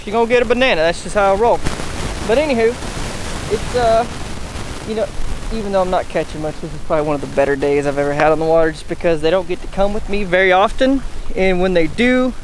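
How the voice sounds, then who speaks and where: A man's voice: high-pitched, quick pace, a little hiss or hum, rough recording. A man, talking casually to camera as a fishing vlogger. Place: outdoors by the water at a beach, later a garage. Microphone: camera held at arm's length, later clip-on lavalier.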